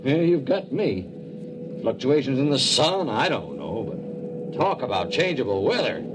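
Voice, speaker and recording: An actor playing a keen, baffled field geologist, informal and emphatic, in a 1950s radio drama. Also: male voice, medium pitch, very animated, measured pace. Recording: loud vehicle-like noise behind old radio recording.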